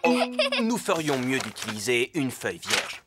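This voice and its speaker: A courteous, earnest gentleman rabbit from a children's cartoon, voiced by a man. He is precise and office-minded, with lively intonation and music in the background. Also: medium pitch, moderate pace, close to an everyday voice. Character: Monsieur Rabbit, polite earnest male rabbit office worker. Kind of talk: preschool cartoon dub.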